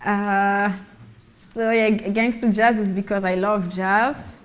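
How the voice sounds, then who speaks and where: A woman artist with a low voice, talking casually on a panel about her work, often restarting words. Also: little pitch movement, slow. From Mali and France. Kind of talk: casual conversational talk.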